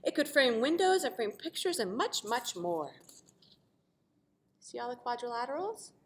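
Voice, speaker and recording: A woman teacher reading a storybook expressively to children, pausing to explain simply and ask questions. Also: fairly high pitch. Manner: animated, asks the listeners questions.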